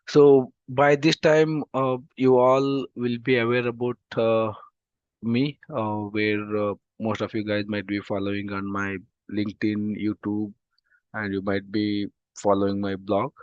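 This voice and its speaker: A man with an Indian English accent teaching an online database class, talking slowly and haltingly with lots of fillers because of a throat infection, over a video call. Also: on the low side, no echo. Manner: slow, halting, many "uh" fillers. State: throat infection, voice not clear. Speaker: database course instructor. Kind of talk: online demo class lecture. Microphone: computer mic on a video call. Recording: dull, dry video-call audio.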